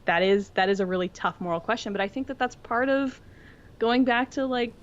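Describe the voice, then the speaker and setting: A woman's voice: a little high, a little fast. A woman co-hosting a podcast, casually discussing fiction in an earnest, thoughtful, informal way. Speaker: podcast co-host. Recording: dull lo-fi audio.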